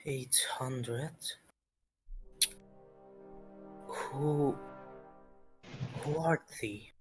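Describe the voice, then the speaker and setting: Man playing a very slow, solemn ancient woman. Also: medium pitch, over music. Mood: bewildered. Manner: slow, halting, archaic speech. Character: centuries-old bewildered woman, archaic, solemn order member.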